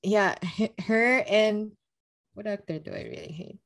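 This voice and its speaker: A woman's voice: medium pitch, a little slow, gravelly, fairly bright tone, dry recording, clean studio sound. A woman co-hosting a book podcast, chatting casually with blunt, emphatic opinions and a ready laugh.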